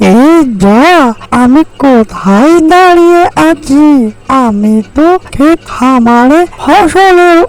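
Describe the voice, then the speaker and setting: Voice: sits high, poor audio. A performer voicing a timid, wheedling, mischievous crow in a cartoon fairy tale, with a pleading manner. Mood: worried.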